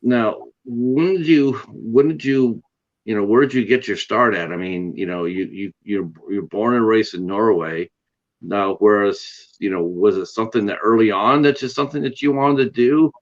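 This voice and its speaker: A male host asks long, winding questions, piling up eager asides before he gets to the point. His voice sits low. The audio is dull and lo-fi, like a remote call. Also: normal speed. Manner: enthusiastic, rambling questions. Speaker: interview host. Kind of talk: casual interview chat.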